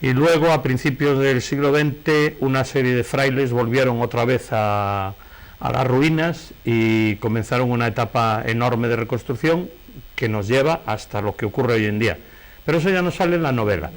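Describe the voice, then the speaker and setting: A man's voice: mid-range voice, moderate pace. Middle-aged Spanish man telling rambling anecdotes. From Galicia, Spain. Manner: digressive, self-correcting. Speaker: interview guest, painter and novelist. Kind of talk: conversational interview, anecdotal storytelling.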